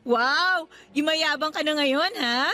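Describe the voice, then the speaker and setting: High-voiced coy young Filipina girlfriend. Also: slow pace, pushed and bright, soft background music, exaggerated voice. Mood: teasing, giddy. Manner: coy, teasing. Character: playful, clingy, jealous young girlfriend. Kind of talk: radio drama acting, conversational Taglish.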